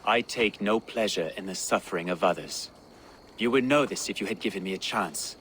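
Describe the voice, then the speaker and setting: A man acts out a grave, pious medieval man in a video game. His voice is low and his phrasing formal and measured. There is faint outdoor noise behind. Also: fairly bright tone, natural voice, poor audio. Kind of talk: dramatic video game acting.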